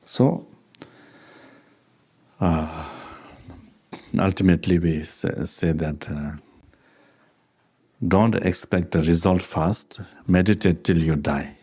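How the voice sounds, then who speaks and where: Low, mellow-voiced man teaching with an accent. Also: breathy edge. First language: Tibetan. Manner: unhurried, conversational, chuckles while telling anecdotes. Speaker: Buddhist teacher. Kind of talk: dharma talk with question and answer.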